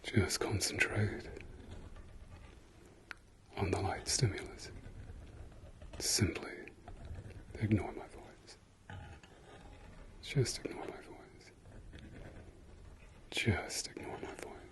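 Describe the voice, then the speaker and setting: A man whispering softly through an ASMR eye-exam roleplay, repeating gentle praise and soothing words. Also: fairly low pitch, steady tone. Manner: slow soft whisper, repetitive soothing praise. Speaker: ASMR creator.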